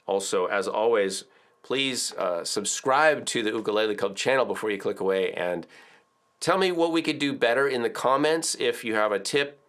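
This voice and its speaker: Middle-aged male music teacher, slightly low voice, casual explaining tone, studio video lesson. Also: animated, moderate pace, fairly bright tone. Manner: chatty, explains with filler words and counts aloud. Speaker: ukulele teacher. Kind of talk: relaxed video tutorial. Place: indoor studio with drums.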